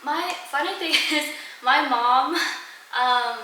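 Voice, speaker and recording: Young woman guest, hesitant and soft-spoken, higher pitch, far from the mic in an echoey room. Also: takes it slowly, a little hiss or hum. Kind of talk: casual interview chat.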